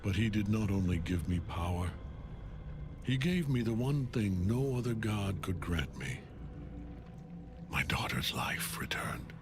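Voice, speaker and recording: A man playing a grim older male general who serves a death god, speaking in a measured, cold, brooding way in game cutscene dialogue. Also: on the low side, very animated, background noise. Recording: game audio with loud music.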